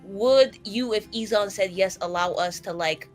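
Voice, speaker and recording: A woman playing a tabletop roleplaying game, chatting casually in an animated, rambling and frank way. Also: mid-range voice, unhurried, soft background music. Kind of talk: casual improvised roleplay chat.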